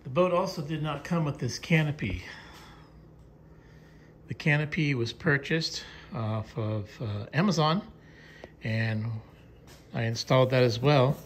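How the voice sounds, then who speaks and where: Slow-talking man in his garage. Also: mid-range voice, a little hiss or hum. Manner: slow, plain-spoken, frequent uh and um fillers. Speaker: video creator showing his modified boat. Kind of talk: casual walkaround show-and-tell. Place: indoor home garage.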